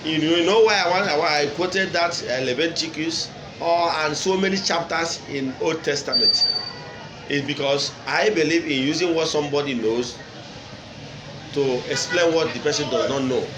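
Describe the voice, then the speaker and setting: A Nigerian man with an Igbo accent speaks in a fairly high voice as he teaches traditional religion. He talks deliberately and emphatically, repeating points and posing rhetorical questions. The room is echoey, and there is clear noise behind him. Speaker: traditional religion teacher and online video host. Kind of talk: unscripted religious lecture to camera.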